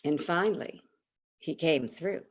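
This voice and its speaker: An actress playing a frank, patriotic woman advice columnist in a theatrical monologue, confiding and dramatic with deliberate pauses. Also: low voice, lo-fi sound. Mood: feisty. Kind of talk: theatrical monologue, staged reading. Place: indoors, remote video call. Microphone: video call microphone.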